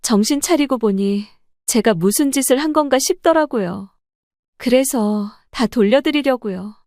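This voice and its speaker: A woman's voice: fairly high pitch, animated, a little slow, a little mellow, no echo, clean studio sound. A woman playing a remorseful, humbled daughter-in-law in an audio story drama.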